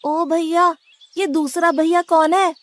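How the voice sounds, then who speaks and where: A woman voicing a blunt, complaining, hot-headed young boy devotee in an animated moral story. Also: very high-pitched, takes it slowly. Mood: indignant.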